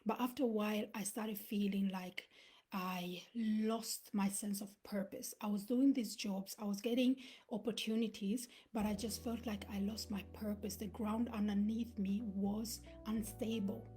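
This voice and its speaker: A woman's voice: medium pitch, steady tone, measured pace, mellow, husky. A woman in her thirties, born in Uganda, giving an earnest motivational talk on a live stream and dropping to a whisper for emphasis. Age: 30s. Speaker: motivational speaker.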